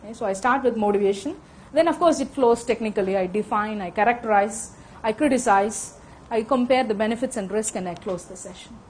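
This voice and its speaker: Fast-talking woman with Indian accent. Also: on the high side, darkish tone, slight background noise, low-quality recording. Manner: fast-talking, frequent "okay?" check-ins. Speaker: lecturer. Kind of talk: technical lecture to an audience. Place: conference room with audience. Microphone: handheld microphone.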